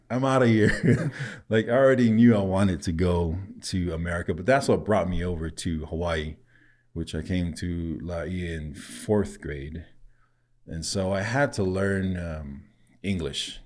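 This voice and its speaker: A man from Samoa who grew up speaking tells stories in easy conversation, often breaking into laughter. His voice sits very low. He sounds like a guest in his thirties on a relaxed podcast. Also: a little slow. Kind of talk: casual conversational storytelling.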